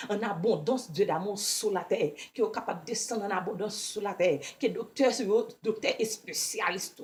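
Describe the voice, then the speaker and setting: A woman with a Haitian accent and Creole as her native language leads a devotional prayer. Her voice sits at a medium pitch. She speaks in a fervent, pleading manner, returning again and again to the same invocations. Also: a little mellow. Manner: repetitive pleading with frequent invocations. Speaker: prayer leader. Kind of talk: scripture reading and fervent spoken prayer.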